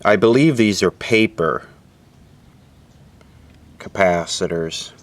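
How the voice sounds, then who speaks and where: Low-voiced, slow-talking man, noisy recording. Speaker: host of a vintage radio repair video. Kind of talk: casual unscripted demonstration narration. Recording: clearly audible background noise.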